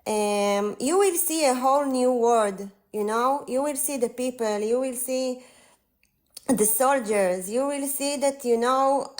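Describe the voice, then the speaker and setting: A woman in her forties with an Israeli accent, a guest speaking over a live call, earnest and halting, with many fillers. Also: on the high side. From northern Israel. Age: 40s. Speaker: guest on a livestream. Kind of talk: casual conversation.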